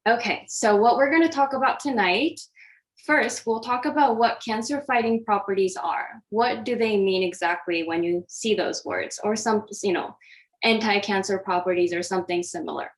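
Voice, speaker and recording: A woman's voice: mid-range voice, normal speed, low-quality recording. A woman from Japan, an oncology dietitian, giving a friendly, interactive online presentation over a video call, with many fillers and restarts. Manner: friendly, hesitant with frequent fillers.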